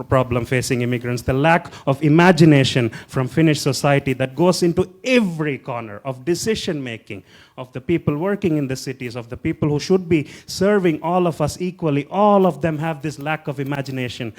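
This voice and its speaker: Man, council candidate on panel, mid pitch, emphatic, rhetorical punch. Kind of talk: political panel speech.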